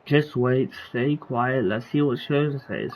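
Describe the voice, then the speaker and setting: A game streamer giving casual commentary, informal and rambling, thinking aloud in a husky voice. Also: medium pitch, a little slow, background noise.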